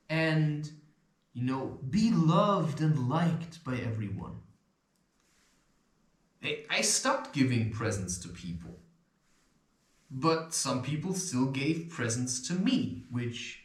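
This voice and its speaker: A man with an occasional stutter drifts through a rambling self-help monologue. His voice sits a touch high and swoops around in lively pitch, while he talks slowly. The room around him rings with echo. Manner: loose, swearing, chuckling, animated. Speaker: self-help video host. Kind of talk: rambling spiritual advice monologue. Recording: echoey room.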